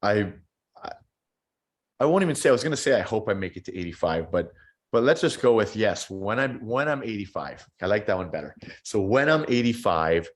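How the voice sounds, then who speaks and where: Deep-voiced reflective man in his thirties. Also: sing-song, brisk. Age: 30s. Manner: reflective, repeats words while thinking. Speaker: podcast guest. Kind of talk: casual interview answers.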